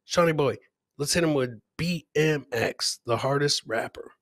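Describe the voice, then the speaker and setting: A man's voice: on the high side, measured pace, fairly bright tone, no room sound, clean studio sound. A middle-aged man doing casual, jokey live commentary on video clips as a comedian host, often repeating his catchphrases. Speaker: comedian hosting a livestream clip show.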